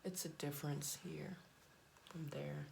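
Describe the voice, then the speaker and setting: A woman chatting casually through a makeup tutorial at home, blunt and chatty, her voice hoarse and cracking. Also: deep voice, fairly even intonation, unhurried, a little mellow, a little hiss or hum. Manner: chatty, blunt, frequent fillers and restarts. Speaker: beauty vlogger.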